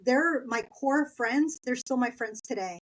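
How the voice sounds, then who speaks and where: A woman's voice: a little high, measured pace, a bit bright, rough recording. A middle-aged woman from East Los Angeles, whose first language is Spanish, telling stories from her school years as an interview guest. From East Los Angeles, Southern California. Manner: chatty, anecdotal, laughs while telling stories. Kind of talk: conversational interview storytelling.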